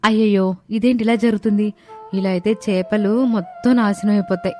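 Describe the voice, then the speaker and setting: A woman's voice: mid-range voice, fairly even intonation, a little fast, dark tone, natural voice. A woman acting the earnest women of a household in a dramatized family moral story. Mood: distressed. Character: earnest young Indian daughter-in-law and her scolding household women. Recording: music behind the voice.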